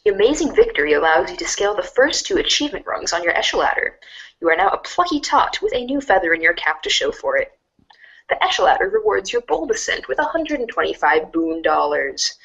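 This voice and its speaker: A woman narrating a second-person storybook in an expressive, wry way. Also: mid-range voice, quick pace, gravelly, highs cut off.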